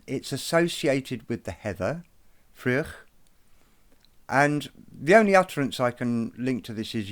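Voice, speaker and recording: A man presenting an explanatory lesson, speaking in a slow, measured way and taking care over each pronunciation. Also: lowish voice. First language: not Irish. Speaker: presenter of an educational video.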